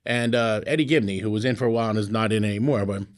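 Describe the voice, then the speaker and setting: A man's voice: lowish voice, racing. A middle-aged man, a founding club member, telling wry stories in a casual group chat. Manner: wry, storytelling, frequent fillers.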